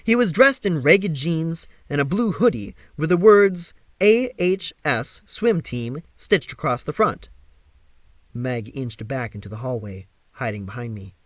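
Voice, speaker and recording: A man narrating a story from a script in an expressive, theatrical way. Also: on the high side, measured pace, no echo. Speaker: amateur audiobook reader.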